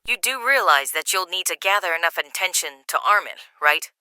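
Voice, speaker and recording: Audio drama role: hard, terse female handler, played by a woman, exasperated, very bright forceful tone, animated pitch. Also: fairly quick, slight background noise. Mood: stern, resigned. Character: hard, exasperated female handler, foul-mouthed but reluctantly helpful.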